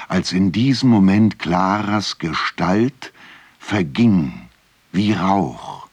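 A man narrating a village legend in a radio play as a measured storyteller with a husky voice. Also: sits low, dry recording, full character voice. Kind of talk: scripted literary tale narration.

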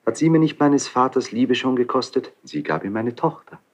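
An actor playing an anguished, bitter young crown prince in a classical German radio drama, theatrical and impassioned, with a husky, breathy voice. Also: male voice, mid-range voice, animated, moderate pace, close to an everyday voice. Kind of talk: 1970s German radio play, classical stage acting.

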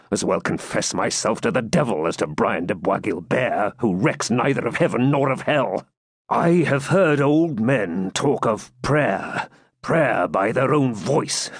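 A man's voice: fairly low pitch, husky, dry recording, studio quality. A man voicing a fierce, stubborn dying baron who growls out defiant speeches in an audiobook. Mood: uneasy.

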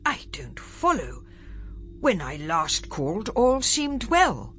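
A woman's voice: mid-range voice, wildly swooping pitch, slow, a bit bright, faint music behind, pitched above the performer's own voice, exaggerated voice. A woman voicing a careful, formal male space official in an audiobook, precise and slightly foreign in accent. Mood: concerned.

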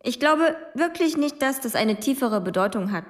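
A woman's voice: high-pitched, expressive, moderate pace. An actress playing a quirky, musing female student with offbeat ideas. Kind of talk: radio drama acting.

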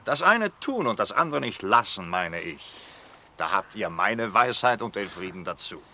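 An actor playing a genial middle-aged brother-in-law in a period radio drama, conciliatory and coaxing in manner. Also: male voice, on the low side, sing-song, normal speed, sharp tone, full character voice. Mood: jovial. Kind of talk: literary radio drama acting.

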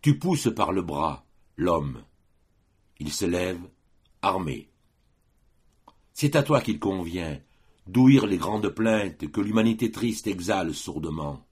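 A man reading poetry aloud as an audiobook reader, solemn and measured, pausing between phrases in a declamatory way. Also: deep voice, lively intonation, darkish tone, breathy edge.

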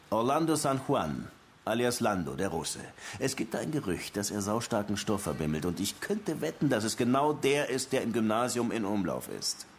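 An actor playing a dogged, lovestruck police detective in a German radio crime drama, wry and slangy. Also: male voice, lowish voice, slight background noise.

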